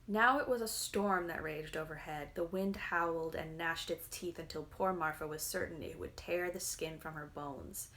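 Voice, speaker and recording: Lower-pitched woman reading a fairy tale. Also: moderate pace, hardly any pauses, fairly bright tone. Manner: dramatic storytelling. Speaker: reader, narrator. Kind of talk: scripted fairy-tale narration.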